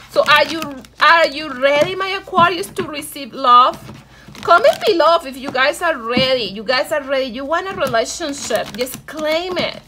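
A woman's voice: high voice, bright, forceful tone. A woman from Venezuela with a Venezuelan Spanish accent, giving a casual, encouraging tarot reading and addressing viewers affectionately. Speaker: tarot reader.

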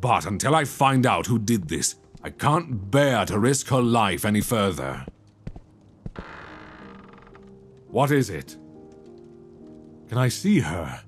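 A man playing a guilt-stricken, tender young prince in a romantic audio roleplay, halting, earnest and given to sighs. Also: lowish voice, big pitch swings, faint music behind. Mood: torn, pleading.

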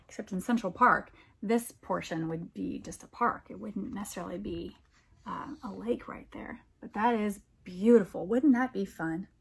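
A middle-aged American woman reads a picture book aloud, adding expressive, wondering comments for young listeners. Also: medium pitch, vocal fry, slight background noise. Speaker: storytime reader and teacher.

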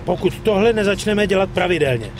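A man playing an excitable, foul-mouthed gangster sidekick in a dubbed game, brash and slangy. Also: high-pitched, over music. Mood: wary, griping. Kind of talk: dubbed video game acting.

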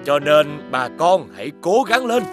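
An actor playing a generous, earnest village benefactor in an animated folktale, sincere and encouraging. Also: male voice, a little high, measured pace, over music.

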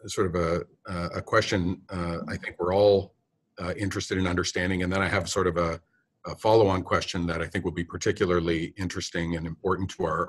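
A man's voice: sits low, fairly bright tone. An older man moderating a conversation in a conference room, reading out questions with many hesitations and fillers. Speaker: interviewer, dean and professor.

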